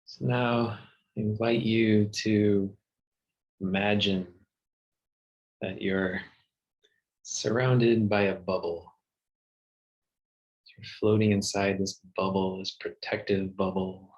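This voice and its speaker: A man leading a guided meditation in a soothing, gentle manner with long pauses. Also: lowish voice, flat delivery, highs cut off. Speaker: meditation teacher.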